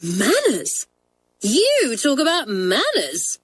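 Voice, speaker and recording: A woman's voice: a little high, lively intonation, measured pace, dry recording, voice pushed up. A woman reading a children's storybook, voicing an impatient, hot-tempered schoolgirl who scolds bluntly and emphatically. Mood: raging.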